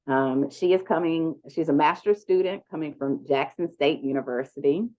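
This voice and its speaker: A woman moderating an online conference panel, speaking in a relaxed, chatty way with lots of ums. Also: low-pitched, moderate pace. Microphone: video call. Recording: dull lo-fi video call audio.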